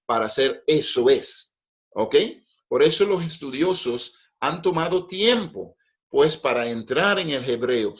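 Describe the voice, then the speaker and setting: A middle-aged man, a native English speaker, preaching a Bible study in a careful, teaching manner with frequent checks of "ok?". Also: medium pitch. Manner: slow, deliberate teaching with frequent "ok?" and "pues".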